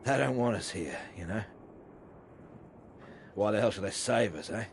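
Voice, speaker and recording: An actor playing a wounded, stranded man, gruff and sardonic. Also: on the low side, lively intonation, moderate pace, a little hiss or hum. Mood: bitter.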